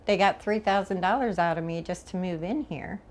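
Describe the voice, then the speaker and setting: Lowish-voiced woman in a noisy interview. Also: normal speed. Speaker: news interviewee, tenant. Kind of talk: casual spoken interview.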